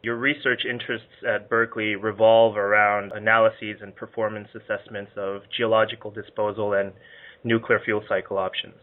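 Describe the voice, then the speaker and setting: A man with a low voice hosts a podcast, reading formal introductions and questions in a polite, scripted way. His voice comes over a thin, phone-like channel. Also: level tone, normal speed. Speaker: podcast host. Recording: tinny phone-like line.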